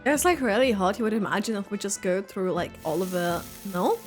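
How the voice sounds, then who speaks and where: A young adult woman casually reacting as she streams a game, giggly and expressive. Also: mid-range voice, fairly quick, soft background music. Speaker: gaming streamer.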